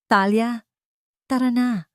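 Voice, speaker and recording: A woman's voice: fairly high pitch, steady tone, slow, smooth, no room sound, clean studio sound, pitched above the performer's own voice. A woman voicing a fierce, anguished adult son, a wealthy businessman, with an intense and commanding manner. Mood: urgent.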